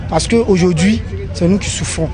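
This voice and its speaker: A man's voice: high-pitched, moderate pace. A man with an Ivorian accent pleading in a street interview, asking rhetorical questions. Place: outdoors on a busy street. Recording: outdoor street with crowd noise.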